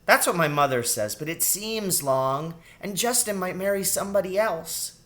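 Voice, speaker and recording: A man's voice: high-pitched, normal speed, pitched above the performer's own voice. A man voicing a small young girl rat in a storybook, childish, wary and chatty. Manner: childish, excitable, suspicious questioning. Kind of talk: storybook character voice in a read-aloud.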